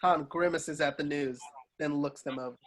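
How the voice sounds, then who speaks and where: A man with a slightly high voice narrates a screenplay. He reads scene headings and action lines in a scripted table-read style. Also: steady tone, normal speed. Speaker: narrator reading stage directions.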